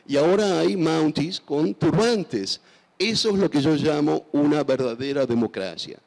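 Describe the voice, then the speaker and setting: Older man, gravelly voice, festival stage, handheld mic, long hall reverb, hesitant. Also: on the high side, unhurried, a little mellow. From Argentina. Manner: thoughtful, hesitant with many fillers and restarts. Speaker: author guest. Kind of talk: onstage interview answers. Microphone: handheld microphone.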